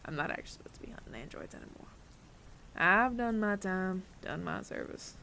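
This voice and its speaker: A man playing a weary older android bounty hunter with reluctant mercy in an intimate audio roleplay, breathy and often near-whispered, deliberate and wry. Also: high-pitched, lively intonation, sharp tone, slight background noise, heavily acted. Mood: weary, resigned.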